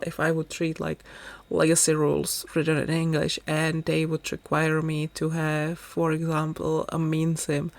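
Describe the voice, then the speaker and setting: A woman's voice: sits low, dry recording. A young woman whose first language is Czech, chatting in a rambling, self-deprecating way as she talks over her gaming video. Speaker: gaming YouTuber. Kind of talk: casual voice-over commentary.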